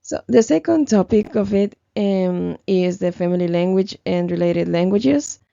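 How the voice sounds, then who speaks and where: Young woman presenting for class while sick, croaky voice, slow and halting, muffled dry mic. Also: on the low side, dark tone, dry recording. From Ecuador. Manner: hesitant, many fillers and restarts. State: sick, slightly hoarse. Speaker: student presenter. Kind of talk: class presentation, read from notes.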